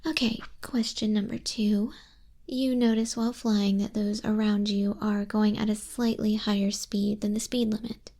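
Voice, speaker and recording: Woman acting as exacting griffin examiner lady, soft ASMR roleplay, breathy, creaky edge, even unhurried delivery, close-up mic. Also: mid-range voice, close to an everyday voice. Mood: strict, measured. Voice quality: breathy, hushed. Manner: measured, unhurried, strict examiner tone. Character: female griffin flying instructor, grumpy reputation, exacting and authoritative. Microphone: close binaural microphone.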